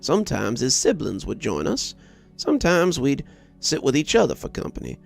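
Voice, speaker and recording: A man reading a scripted first-person story aloud as narrator, in a measured, reflective way. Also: medium pitch, wildly swooping pitch, gravelly, faint music behind.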